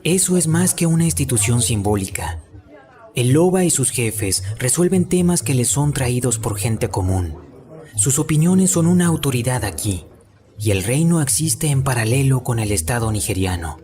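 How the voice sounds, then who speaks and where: A man's voice: medium pitch, big pitch swings, moderate pace, warm tone. A man with a Latin American Spanish accent reading scripted documentary narration as an amateur voice-over. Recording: music behind the voice. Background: background music.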